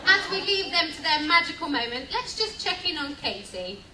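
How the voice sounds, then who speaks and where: A woman narrating a scripted radio play live, reading out sound-effect cues in a lively way. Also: very high-pitched, moderate pace. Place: cruise ship venue before a live audience. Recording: echoey distant recording, loud crowd noise.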